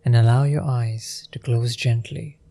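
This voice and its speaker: A man leading a guided meditation in a gentle, unhurried manner with long pauses. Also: mid-range voice, fairly even intonation, gravelly, a little hiss or hum.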